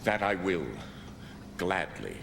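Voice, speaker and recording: An actor playing an excitable, indignant gentleman adventurer with an emphatic, formal, theatrical manner in old-time radio drama style. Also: sits low, takes it slowly, vocal fry, background noise, exaggerated voice. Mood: reflective storytelling. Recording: echoey, long reverb, music behind.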